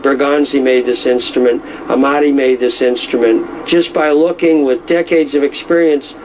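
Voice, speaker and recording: A middle-aged man who deals in violins, speaking in a measured, knowledgeable way with frequent fillers. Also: a little high, a little mellow, a little hiss or hum, low-quality recording. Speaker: violin dealer. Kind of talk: casual explanatory talk.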